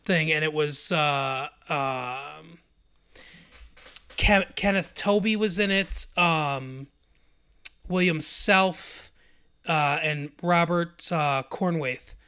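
Man with a higher, bright voice. Easygoing podcast co-host who agrees readily and hunts for words. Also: clean tone. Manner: agreeable, halting, full of fillers. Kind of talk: casual movie chat.

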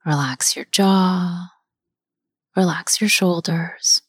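Soft-spoken woman leading a guided meditation; husky, unhurried, half-whispered delivery. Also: on the low side, no room sound, studio quality. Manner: slow, soft, often half-whispered with long pauses.

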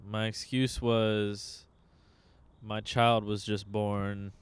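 A man chatting casually on a podcast in a deadpan manner. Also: fairly low pitch, extremely slow, smooth, no echo. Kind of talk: casual chat.

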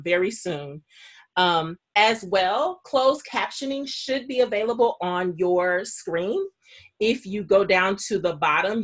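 A woman's voice: medium pitch, a bit bright. A woman moderating an online panel, speaking informally and chattily as she introduces the event and adds asides. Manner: chatty, housekeeping announcements with asides. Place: online video call.

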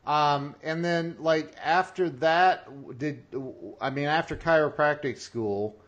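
A man hosting an interview show, talking in an excitable, casual way with lots of restarts and laughs. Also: on the high side, slow, lo-fi sound. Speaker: podcast host.

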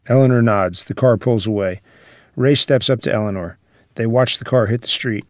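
Male narrator, mid-pitched, reading scripted stage directions, dry recording. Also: normal speed, no room sound.

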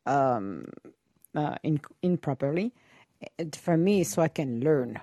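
A woman's voice: low-pitched, lively intonation, takes it slowly, mellow, no room sound, clean studio sound. A woman interviewing a guest in English, which is not her first language, asking curious questions and laughing often. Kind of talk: interview conversation.

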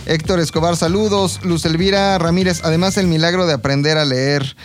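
Mexican man, higher-pitched, telling history casually. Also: steady tone, fairly quick. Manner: informal, explanatory, role-plays imagined quotes. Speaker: podcast co-host telling history. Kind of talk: casual gossipy history storytelling with slang. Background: background music.

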